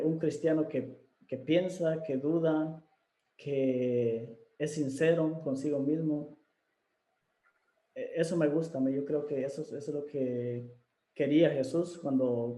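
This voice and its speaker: A man hosts a casual video interview, pausing often with fillers and starting his sentences over. His voice sits fairly high for a man, with a dark, mellow tone. The recording has a noticeable roomy echo. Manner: hesitant, many fillers and restarts. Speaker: interview host.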